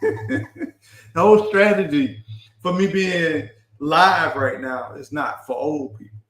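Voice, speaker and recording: A man's voice: on the high side, animated, takes it slowly, a bit bright, reverberant. A middle-aged man hosting a live stream, talking earnestly and urging his listeners, often repeating and restarting words. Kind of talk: casual live stream talk.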